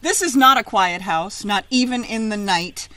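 A middle-aged woman reads a children's picture book aloud outdoors, with expressive, emphatic, sing-song phrasing. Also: medium pitch, normal speed, slight background noise. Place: outdoors in a leafy garden.

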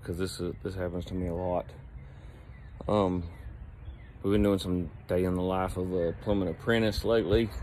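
Guy in his 30s, phone selfie vlog outside, lowish voice, chill rambling talk with lots of ums. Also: a little mellow, slight background noise. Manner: easygoing, rambling talk with fillers. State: sore back from heavy lifting. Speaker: plumbing company owner. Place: outdoors by a brick building on a job site. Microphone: phone at arm's length.

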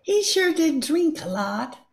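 A woman's voice: sits high, big pitch swings, slow pace, vocal fry, full character voice. A woman playing a nosy, scandalised neighbour gossiping in an amateur radio play. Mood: gossipy.

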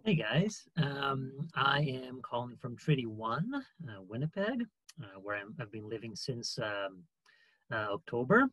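A man's voice: medium pitch, big pitch swings, measured pace, a bit bright, no echo, low-quality recording. A man in his thirties from Brazil, chatting casually as a guest on a video call. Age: 30s. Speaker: theatre artistic director and guest. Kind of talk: casual chat. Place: room at home.

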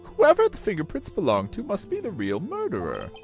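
A man acts out an elderly courtroom judge in a game, solemn yet flustered. The voice is pushed high and swings dramatically, exclaiming theatrically. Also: huge pitch swings, fairly quick, soft background music, heavily acted. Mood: astonished, solemn. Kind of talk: voiced visual novel playthrough.

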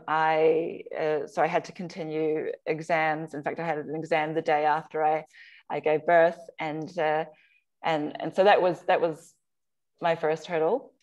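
A woman, a surgeon giving an informal slide talk over a video call in conversational, halting phrases. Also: sits low, dull audio. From Samoa. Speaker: surgeon, guest speaker. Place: video call, remote webinar.

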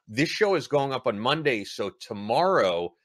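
A man's voice: mid-range voice, very animated, normal speed, no echo, studio quality. A man in his thirties hosting a podcast interview, wrapping up by plugging links and release dates. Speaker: podcast host.

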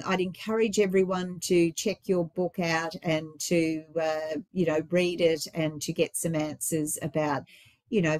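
A woman's voice: low-pitched, dry recording. Older woman host with a scratchy voice. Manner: warm encouraging wrap-up, many fillers. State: slightly scratchy, tired voice. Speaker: podcast host. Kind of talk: casual interview chat. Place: home room indoors. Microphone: video call webcam.

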